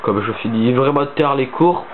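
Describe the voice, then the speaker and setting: A man's voice: mid-range voice, normal speed. Teen boy, stuffy with a cold, hesitant rambling gamer voice-over, tinny cheap mic. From southern France. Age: teens. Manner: rambling, hesitant with many fillers. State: stuffy-nosed from a cold, sniffling. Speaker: gaming channel host. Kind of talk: casual gaming commentary voice-over. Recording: tinny phone-like mic with faint hiss.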